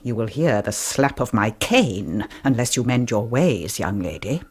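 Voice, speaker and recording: An English actress playing a strict, pompous Victorian governess in a radio drama, commanding and formal. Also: female voice, rumbling low, lively intonation, measured pace, very noisy. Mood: stern, scolding. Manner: commanding, crisply formal, theatrical scolding. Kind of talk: 1990s radio drama acting.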